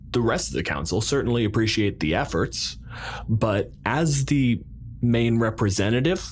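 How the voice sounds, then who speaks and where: Man as stern bureaucratic president for a sci-fi roleplay; formal, halting delivery, widely swinging intonation. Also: fairly low pitch, a little mellow, slight background noise. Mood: stern, measured. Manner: deliberate, formal, halting with pointed pauses. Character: measured, bureaucratic male colonial president, coolly authoritative. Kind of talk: improvised roleplay dialogue.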